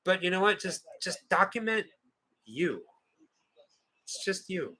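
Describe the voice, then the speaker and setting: Animated, bright-voiced man in his forties. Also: sits high, a little slow, poor audio. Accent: American. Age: 40s. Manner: playful, joking, reads chat aloud. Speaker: co-host of a livestream watch party. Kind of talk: casual livestream chat.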